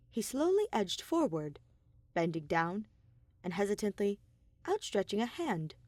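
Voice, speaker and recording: A woman narrates a story in an audiobook style. Her voice sits at a medium pitch, expressive and deliberate, in a very dry recording with little room sound. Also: no echo. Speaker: podfic narrator. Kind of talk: scripted fiction narration, audiobook reading.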